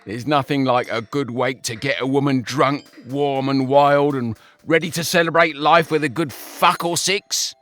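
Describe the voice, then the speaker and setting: A man's voice: mid-range voice, fairly even intonation, normal speed, hardly any pauses, a bit bright, faint music behind, close to an everyday voice. A man voicing a lecherous, slovenly male pig who boasts, dismisses and wails. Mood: boastful.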